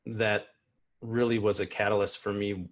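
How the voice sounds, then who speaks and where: A man in his thirties who stutters gives a personal keynote talk over a video call from a small room at home, slow, earnest and reflective, often restarting his words. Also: lowish voice, flat delivery. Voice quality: stutters, with repeated sounds and blocks. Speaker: keynote speaker. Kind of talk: personal talk at an online convention. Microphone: earphone mic on a video call.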